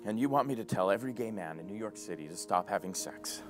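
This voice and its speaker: A man's voice: lowish voice, animated, quick pace, close to an everyday voice. An actor at a stage play table read playing an urgent, confrontational male activist, impassioned and argumentative. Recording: music behind the voice.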